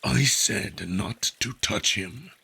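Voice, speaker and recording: A man's voice: on the low side, slow pace, creaky, bright, forceful tone, breathy edge, heavily acted. A man voicing a big, hot-tempered, protective male wolf alien in a visual novel, speaking in a stern, curt, indignant way. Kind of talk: visual novel character acting.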